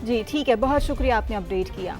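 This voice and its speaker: A woman's voice: fairly high pitch, quick pace, over music. A woman with a Pakistani accent reading a scripted news bulletin as anchor and handing over to reporters.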